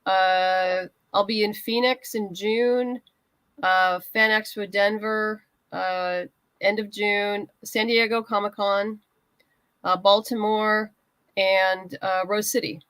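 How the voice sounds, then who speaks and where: A woman's voice: medium pitch, fairly even intonation, takes it slowly, fairly bright tone, smooth, no echo. A woman speaking as an interview guest, chatting casually as a creator talking up a project. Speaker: guest writer and comics creator. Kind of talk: casual interview chat, plugging a crowdfunding campaign.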